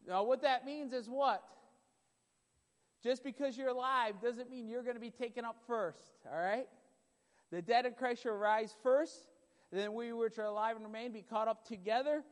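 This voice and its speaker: A man preaching a sermon with a loud voice, emphatic and repetitive, building in intensity. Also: very high-pitched. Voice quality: loud voice at times. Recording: long hall reverb.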